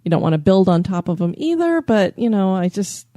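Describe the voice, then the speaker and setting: A woman hosting a podcast in casual chat, chatty and quick to laugh. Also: mid-range voice, sing-song, dark tone, no echo, studio quality. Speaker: podcast host.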